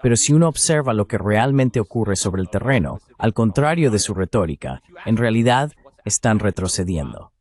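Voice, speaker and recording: A man with a slightly low voice delivers geopolitical commentary. He sounds like a dubbed voice-over of a guest analyst. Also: sing-song, normal speed, darkish tone.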